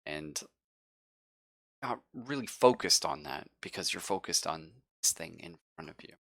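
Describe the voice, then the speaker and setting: A man's voice: low voice, lively intonation, unhurried, creaky, pushed and bright, dry recording, studio quality. A man casually running a live tabletop roleplaying game as game master, with slangy, profane narration.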